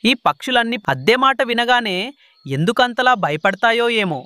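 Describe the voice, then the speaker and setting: A man's voice: extremely high pitch, very animated, normal speed, sharp tone, no echo, full character voice. A man playing a sly, greedy crow landlord who wheedles and bosses in a children's cartoon. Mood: wheedling. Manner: scheming, wheedling, bossy.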